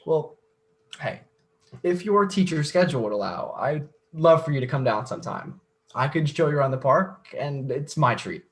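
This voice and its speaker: A man's voice: fairly high pitch, expressive. Hesitant, nerdy young man played by an actor. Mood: earnest, friendly. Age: young adult. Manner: hesitant, halting. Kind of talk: stage play dialogue.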